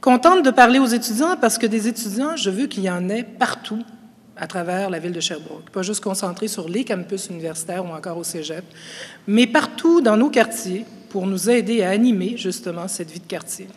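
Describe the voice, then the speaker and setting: A woman's voice: medium pitch, a little fast. A woman giving an upbeat, earnest opening statement as a municipal candidate, with small hesitations. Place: university hall. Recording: reverberant hall.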